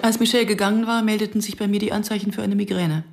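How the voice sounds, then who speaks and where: A woman's voice: medium pitch, steady tone, fast talker, vocal fry, background noise, natural voice. An actress playing a passionate, argumentative woman who tells her own story in a German radio drama, sardonic and insistent. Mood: reflective narration.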